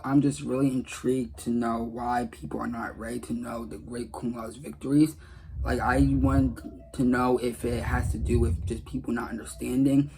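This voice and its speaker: Casting: male gaming commentator with a mid-range, husky voice, sincere and rambling, casually talking through his thoughts and repeating himself. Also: medium pitch, a little mellow, poor audio. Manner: earnest, repetitive, rambling. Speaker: gaming video creator. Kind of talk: casual commentary on game dialogue.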